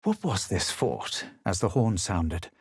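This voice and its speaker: An actor playing a haughty, coolly superior fantasy adventurer in a video game, with a husky voice and deliberate delivery. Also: male voice, sits low, very animated, no echo, clean studio sound, heavily acted. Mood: haughty, composed. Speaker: video game player character. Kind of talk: scripted game voice lines, narration and remarks.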